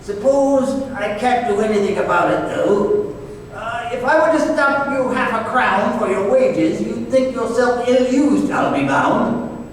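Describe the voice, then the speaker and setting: A man's voice: very high voice, expressive, measured pace. An actor playing a cold, grumpy old male miser in an old-time radio play, curt and dismissive. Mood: grudging, irritable. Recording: distant echoey hall, noticeable hiss.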